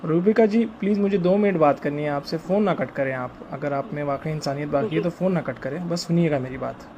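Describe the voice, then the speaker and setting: A man's voice: a little high, brisk, darkish tone. A man presses his point on a phone call. He sounds insistent and reproachful, often pleading to be heard, and there is faint noise on the recorded line. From India. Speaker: caller. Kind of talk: confrontational phone conversation.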